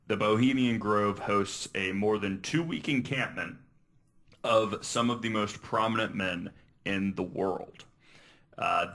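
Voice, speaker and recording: A man's voice: lowish voice, sharp tone. A man hosting a podcast, chatting casually and telling stories to his co-hosts. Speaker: podcast host. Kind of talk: casual podcast chat and storytelling.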